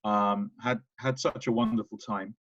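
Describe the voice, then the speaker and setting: A man's voice: on the low side, fairly even intonation, normal speed, fairly bright tone, dry recording. Earnest British man on a video call. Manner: earnest, emphatic, with frequent restarts. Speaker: guest speaker, management professor. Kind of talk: webinar presentation. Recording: dry, dull lo-fi video call audio.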